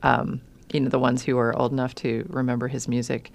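A woman's voice: rumbling low, flat delivery, fairly quick, gravelly. A woman hosting a podcast who interviews panel guests in an inquisitive, conversational way. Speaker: podcast host.

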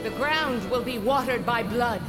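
Loud music surrounds a proud, aging warrior queen played by a woman. She speaks in slow, solemn oratory, fierce and commanding, as in an epic audio drama. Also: a little high, natural voice. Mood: grave warning. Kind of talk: dramatic audio fiction acting.

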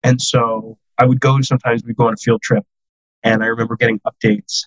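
A man's voice: mid-range voice, flat delivery, brisk, no room sound, lo-fi sound. A man in his thirties from the United States, a podcast guest telling self-deprecating stories in casual chat, full of "like" fillers.

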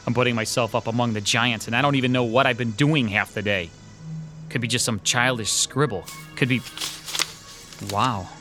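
A man's voice: medium pitch, moderate pace, sharp tone, faint music behind, lightly acted. An actor playing an anxious, lonely male artist who talks in an uneasy, pleading way, in an audio drama. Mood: wondering.

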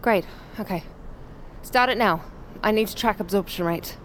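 A woman's voice: medium pitch, normal speed, noisy background, lightly acted. Woman as a confident, friendly crop scientist. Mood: focused, brisk. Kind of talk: audio fiction acting.

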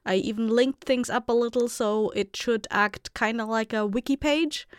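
Woman, podcast creator-host, casual chat, playful, smooth clear voice, very dry recording. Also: a little high, steady tone, normal speed, dry recording.

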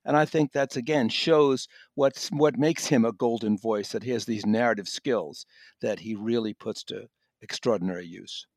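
A man with an American accent giving conversational review commentary with deliberate, emphatic phrasing. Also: medium pitch, no room sound, clean studio sound. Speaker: audiobook reviewer and podcast guest.

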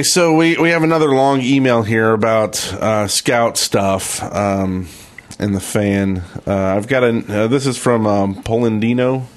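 A man with a fairly low voice speaks as a podcast co-host. His delivery is casual and conversational, whether he is chatting or reading a listener's email aloud.

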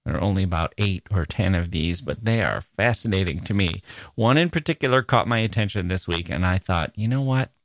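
Low-voiced American man, chatty podcast host. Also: lively intonation, fairly quick, no room sound. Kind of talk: casual conversational podcast monologue.

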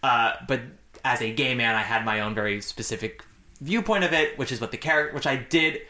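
A man hosting a podcast in casual, chatty conversation, animated and campy, swearing freely. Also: mid-range voice, fairly quick, roomy sound. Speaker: podcast host.

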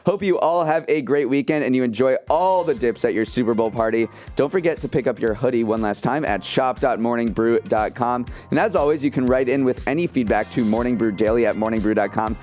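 A man's voice: mid-range voice, a little fast, hardly any pauses, darkish tone, soft background music. An American man co-hosting a podcast, bantering casually about the news. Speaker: podcast co-host.

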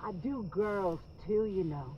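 A woman's voice: mid-range voice, lively intonation, a little slow, lo-fi sound, lightly acted. An actress playing a weary, offhand female sex worker working a truck stop in an audio drama. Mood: offhand, curt. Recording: very noisy, clicking truck-stop ambience.

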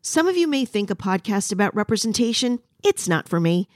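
A woman's voice: medium pitch, big pitch swings, a little fast. A woman podcast host reading a scripted promotional spot for a show.

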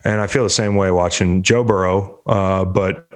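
A man with a fairly low voice riffs on sports in a loose, rambling way. He swears and cracks jokes as he goes. He sounds a little congested. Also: steady tone, moderate pace. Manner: profane, rambling, joking. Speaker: sports show host. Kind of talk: casual sports talk.

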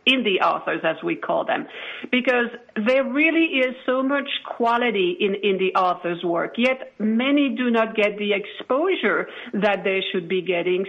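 A woman explaining things as a guest in a podcast interview. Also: medium pitch. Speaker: interview guest, author show founder. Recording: dull radio-like lo-fi audio.

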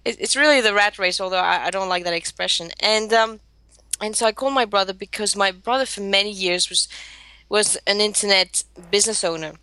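A woman's voice: mid-range voice, fairly quick, pushed and bright, clean tone, dry recording. A woman in her thirties who grew up in Greece, a guest telling her story in a chatty interview. She often restarts her sentences. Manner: chatty, many restarts and laughs. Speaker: guest, social media marketer.

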